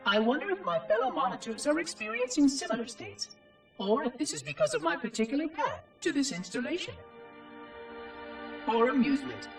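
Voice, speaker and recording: A man's voice: extremely high pitch, exaggerated voice. A man voicing a fussy, lonely, curious ancient robot caretaker in a video game, speaking precise, formal lines through a synthetic effect. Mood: troubled, wistful, then clinical. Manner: precise, formal, prim diction. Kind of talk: scripted video game monologue. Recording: echoey, distant voice over music.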